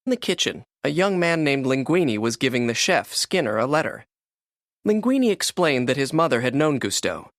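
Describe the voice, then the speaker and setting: Animated male storybook narrator. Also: medium pitch, moderate pace, no echo, studio quality. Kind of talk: scripted children's read-along narration.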